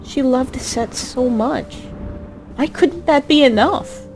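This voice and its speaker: A woman's voice: high-pitched, takes it slowly, a little mellow. Woman reading a dramatic story, really emotive and theatrical, music playing behind her. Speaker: narrator. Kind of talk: dramatic scripted story narration.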